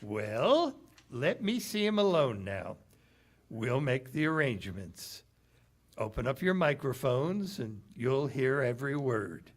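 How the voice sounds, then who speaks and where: An actor playing a cynical, streetwise American cafe owner, slangy and brash, in 1940s radio theater style. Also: male voice, medium pitch, sing-song, takes it slowly. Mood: scheming.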